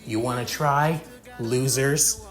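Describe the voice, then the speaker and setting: A brash young girl in a story reading, voiced by the narrator. The delivery is blunt and scolding yet protective, at a middling pitch with music behind. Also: takes it slowly, close to an everyday voice.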